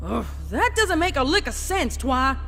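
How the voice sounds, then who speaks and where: A woman's voice: sits high, big pitch swings, a little slow, gravelly, sharp tone, in a large hall, faint music behind, pitched above the performer's own voice, heavily acted. A woman voicing a blunt female country pony, exasperated and folksy, in a dramatic fan fiction reading. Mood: angry, baffled.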